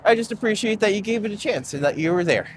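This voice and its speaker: A man's voice: sits high, expressive, fast, a little hiss or hum. A young man hosting a live-streamed walking tour outdoors on a city street, rambling and chatty with lots of fillers, recorded on a phone.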